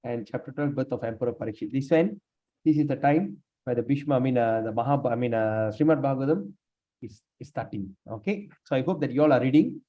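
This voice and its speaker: A man leading a scripture class, speaking haltingly with many fillers and restarts while he has lost his voice. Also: medium pitch, warm tone. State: lost his voice, sprained neck. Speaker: class leader. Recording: dull lo-fi room recording.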